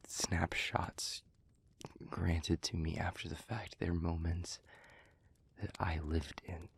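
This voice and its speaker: A man playing a gentle, remorseful demon in an intimate audio roleplay, speaking in a breathy near-whisper with halting, earnest care. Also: low-pitched, flat delivery, slight background noise, heavily acted. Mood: hesitant, earnest. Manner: halting, earnest, careful, with long pauses.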